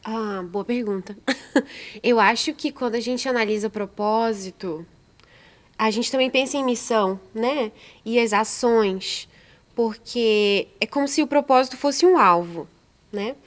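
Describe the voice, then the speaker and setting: A woman co-hosting a casual podcast who talks reflectively and gives frequent soft agreement. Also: a little high, measured pace. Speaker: podcast co-host. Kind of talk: casual chat.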